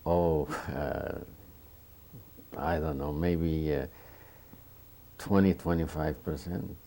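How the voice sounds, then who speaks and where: An older man in his seventies, from a small town in Romania, speaks slowly in a deep, gravelly voice. He searches for words and restarts often, and his tone is dark and mellow. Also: fairly even intonation. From Bukovina, Romania. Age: 70s. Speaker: Holocaust survivor interviewee. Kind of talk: oral history interview.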